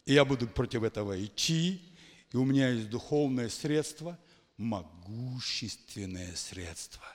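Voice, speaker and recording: A man preaching a charismatic sermon to a congregation, emphatic, swinging from hushed lines to shouted exclamations. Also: mid-range voice, animated, a little slow. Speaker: pastor. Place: church hall. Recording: long hall reverb.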